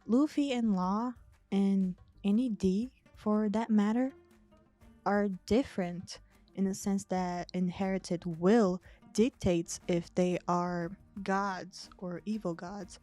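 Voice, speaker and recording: A young woman talks in a slow, unhurried way. Her voice is warm and mellow. She sounds measured and self-deprecating, with faint music underneath. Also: medium pitch, fairly even intonation. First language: Portuguese. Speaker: video essayist. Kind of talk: reading a scripted analysis, then chatting.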